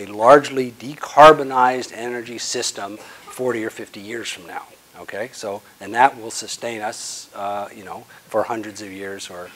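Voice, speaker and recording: A low-voiced man in his sixties answers audience questions at a lecture, leaning hard on key words and then letting each phrase trail off softly. He speaks into a clip-on lapel mic in a conference room. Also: breathy edge, rough recording. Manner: emphatic, trailing off quietly at phrase ends. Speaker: guest speaker.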